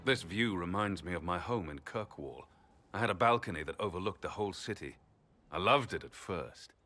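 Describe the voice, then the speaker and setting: Deep-voiced weary, resolute champion. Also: male voice, lively intonation, normal speed, pushed and bright, soft background music, exaggerated voice. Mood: weary, reflective. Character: weary, resolute male champion burdened by duty. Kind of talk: dramatic video game cutscene acting.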